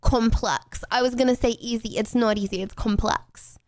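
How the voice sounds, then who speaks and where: A young British woman streaming a game, chatting casually with her chat and breaking into playful, excited exclamations. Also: fairly high pitch, normal speed, dry recording. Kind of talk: casual gameplay commentary to chat.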